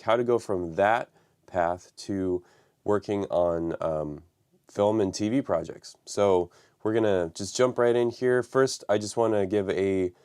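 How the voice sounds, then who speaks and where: A man hosting a live stream from his home studio, raspy from the flu and talking loosely with many fillers. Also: on the low side, a little mellow. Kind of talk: casual live stream talk.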